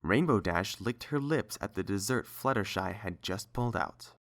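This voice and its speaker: This is a storyteller reading scripted fiction as an audiobook. The delivery is measured, with a lively, varied melody in the pitch. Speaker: narrator and reader.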